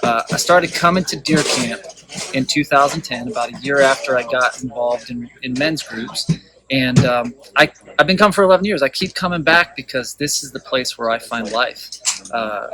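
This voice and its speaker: A man's voice: mid-range voice, sharp tone, rough recording. A man in his thirties from Colorado giving an earnest, heartfelt personal testimony about a men's retreat. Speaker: retreat participant.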